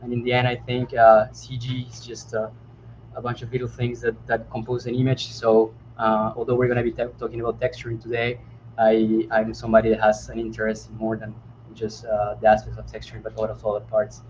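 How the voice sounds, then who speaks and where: A man's voice: mid-range voice, monotone, a little mellow. A man, a panel guest introducing himself politely with many hesitations over a computer mic on a video call. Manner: halting, many fillers, polite. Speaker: guest, CG artist. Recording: dull, muffled line with faint noise.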